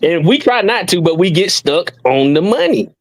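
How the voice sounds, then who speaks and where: A man's voice: high-pitched, big pitch swings, moderate pace, creaky. A man on a casual talk panel who laughs between his points and cuts in to clarify.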